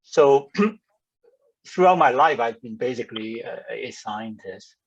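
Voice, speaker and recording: An older man introduces himself in a careful, measured manner. His voice is husky, and the video call audio comes through muffled. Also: medium pitch, lively intonation. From Hong Kong. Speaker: panelist, scientist. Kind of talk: formal self-introduction.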